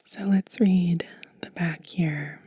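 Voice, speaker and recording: A woman whispering softly and breathily in a gentle, unhurried, soothing ASMR show and tell. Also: fairly low pitch, steady tone, slightly rough, no room sound, clean studio sound. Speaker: host of a witchcraft ASMR channel.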